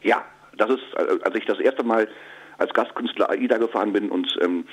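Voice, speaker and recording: A comedian being interviewed, answering casually with many fillers and restarts. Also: male voice, fairly low pitch, normal speed, husky, poor audio. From northern Germany. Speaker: comedian and musician. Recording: radio broadcast.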